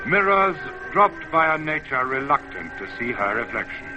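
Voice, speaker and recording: Male announcer-narrator, measured and poetic, vintage lo-fi radio recording over prominent music. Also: mid-range voice, big pitch swings.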